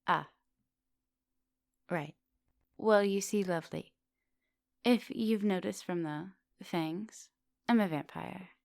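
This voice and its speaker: A woman playing a coaxing, soft-dominant vampire professor in an intimate ASMR roleplay, speaking with polite, formal phrasing. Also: fairly low pitch, takes it slowly, creaky, long pauses, dry recording, clean studio sound. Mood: polite, explaining. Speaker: teacher.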